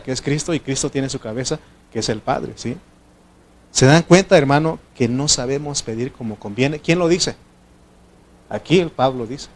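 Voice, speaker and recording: A preacher from Mexico, whose first language is not Spanish, addresses his congregation in a lively sermon. He swings from raised, urgent exhortation down to soft, low asides, at an ordinary middle pitch for a man. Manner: animated, swinging between loud exhortation and quiet asides.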